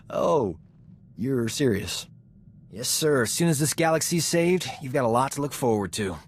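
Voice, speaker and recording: A male actor as a roguish man, a space-going combat medic from a video game, full of swagger and flirtation. He talks in a breezy, wisecracking way at a medium pitch, over a bed of ambient noise. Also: animated, measured pace, long pauses, natural voice. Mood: teasing, amused. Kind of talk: video game voice acting, character dialogue.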